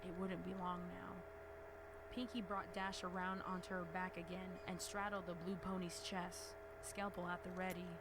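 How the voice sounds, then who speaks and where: A woman's voice: on the low side, flat delivery, normal speed. A woman giving a dramatic, scripted narration of a horror story in an audio drama. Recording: loud noise behind narration.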